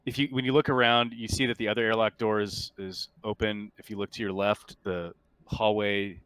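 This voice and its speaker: Man, lowish pitch, game master, casual, hesitant phrasing, tabletop narration. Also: level tone, normal speed, a bit bright, clean tone, no room sound.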